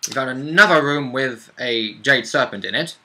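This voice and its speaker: A man's voice: medium pitch, moderate pace, sharp tone. A man chatting casually as a player looking back on a tabletop game, in a blunt, slangy, offhand way. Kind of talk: casual chat.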